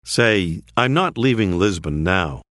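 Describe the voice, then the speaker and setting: A man narrating a language course, calmly prompting the learner with phrases to say. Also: sits low, no room sound, clean studio sound. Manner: slow, clear, prompting the learner. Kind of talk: scripted instructional narration.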